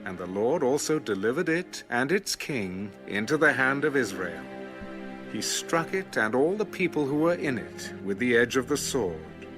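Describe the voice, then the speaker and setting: Man, dramatic scripted narration, animated range, mid pitch, clear music bed. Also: moderate pace. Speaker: audio Bible narrator.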